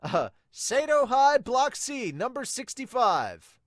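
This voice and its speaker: Man putting on a loud, high-pitched tournament announcer. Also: big pitch swings, dry recording, studio quality, full character voice. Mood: announcing. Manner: projected, drawn-out calling of names. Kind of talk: manga dub announcing.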